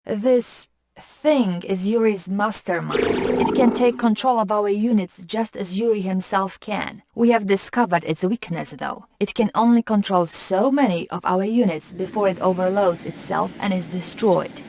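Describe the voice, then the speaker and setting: An actress playing a composed Soviet military intelligence officer, giving formal, measured briefings in a video game. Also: female voice, mid-range voice, noisy background, lightly acted. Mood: composed, businesslike.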